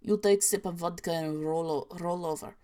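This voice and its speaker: A woman voicing a grim, deadpan Soviet storyteller in a put-on mock Russian accent with clipped, broken grammar. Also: fairly low pitch, moderate pace, voice pushed down, lightly acted. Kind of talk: reading creepy stories aloud.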